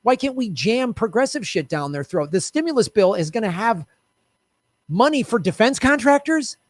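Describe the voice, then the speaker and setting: A man hosting a political commentary show, ranting loudly and profanely and urging viewers to act. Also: sits high, very animated, moderate pace, no echo. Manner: talks straight to viewers, urging them to act.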